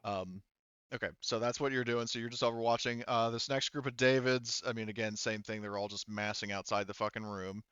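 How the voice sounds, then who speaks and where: Smooth-voiced man as game master. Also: medium pitch, fairly even intonation, brisk, a bit bright, no echo, lo-fi sound. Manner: informal, swearing. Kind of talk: running a tabletop roleplaying game, casual narration and table talk.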